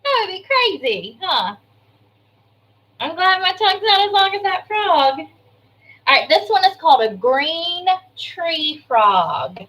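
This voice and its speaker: A woman kindergarten teacher reading an information book aloud to young children, adding chatty asides, simple explanations and questions in an expressive way. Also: very high-pitched, takes it slowly, poor audio.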